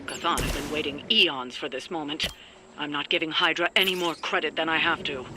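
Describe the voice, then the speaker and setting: Casting: grave sorcerer-mentor played by a man, authoritative and formal, video game briefing with clear background noise. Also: a little high, steady tone, brisk, natural voice. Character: stern, authoritative male mentor sorcerer, cautioning his young team. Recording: noisy game ambience behind.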